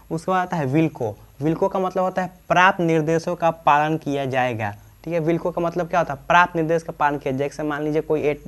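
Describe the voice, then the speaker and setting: Male teacher, Bihari accent, slightly high voice; colloquial explainer who keeps posing and answering his own questions. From Bihar, India. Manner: repeatedly poses questions and answers them himself; colloquial, slips into local dialect. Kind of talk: informal classroom-style explanation.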